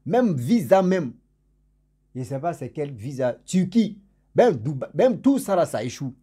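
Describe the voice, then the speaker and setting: A man with a cold and a cough, telling a story to his followers in a casual spoken way. He repeats words for emphasis and swings between loud stressed words and endings that fade low. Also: big pitch swings, unhurried, breathy edge. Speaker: storyteller for his channel's subscribers.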